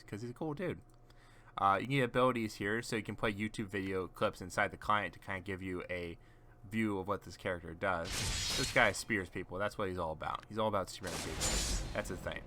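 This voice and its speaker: A man's voice: low voice, sharp tone, a little hiss or hum. A man casually chatting on a gaming stream, giving rambling, teasing explanations to a friend as he shows off game characters.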